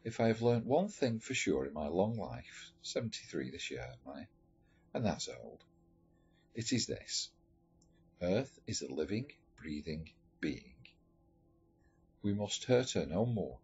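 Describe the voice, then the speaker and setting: A man reading a letter story aloud in a measured, expressive storytelling manner. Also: low-pitched. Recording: dull lo-fi recording with music behind. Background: background music.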